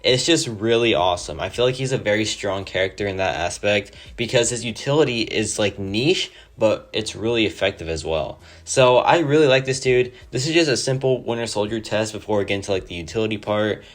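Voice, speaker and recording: Guy with a scratchy sore throat rambling excitedly about his fave game character, lowish voice. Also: a little fast, hardly any pauses. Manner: enthusiastic, rambling, many "like" fillers. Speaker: mobile game player. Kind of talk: casual gameplay commentary.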